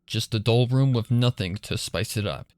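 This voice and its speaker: A man's voice: fairly low pitch, moderate pace, a little mellow, clear, resonant tone, dry recording. A man reading scripted dramatic story narration for a fan fiction.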